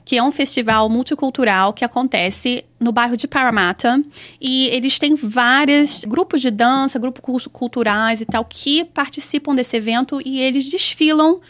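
A woman's voice: high-pitched, a bit bright. A woman with a Brazilian accent, a samba school organizer chatting eagerly as an interview guest, restarting her phrases as she goes. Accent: Brazilian Portuguese. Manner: enthusiastic, talks in quick restarting runs. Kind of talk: casual interview chat.

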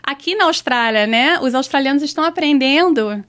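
A woman's voice: high voice, lively intonation, a bit bright. A woman with a Brazilian accent, a samba school organizer chatting eagerly as an interview guest, restarting her phrases as she goes. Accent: Brazilian Portuguese. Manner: enthusiastic, talks in quick restarting runs. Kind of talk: casual interview chat.

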